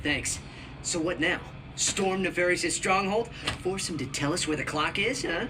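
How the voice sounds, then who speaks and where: High-voiced young alien hero. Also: male voice, unhurried, background noise. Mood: questioning. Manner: casual, questioning, earnest. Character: young male Lombax alien hero, eager and plain-spoken. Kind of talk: video game cutscene acting.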